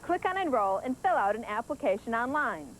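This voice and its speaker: A woman's voice: fairly high pitch, big pitch swings, a little fast, slight background noise, rough recording. An American woman reading a scripted recruitment promo as an announcer.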